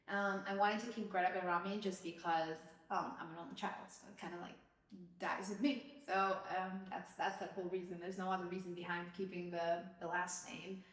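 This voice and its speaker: A woman from Albania hosting a podcast, telling her childhood story in a reflective, casual way with many fillers. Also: lowish voice. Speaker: podcast host, mother of co-host. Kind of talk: casual personal conversation. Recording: roomy, distant from the mic.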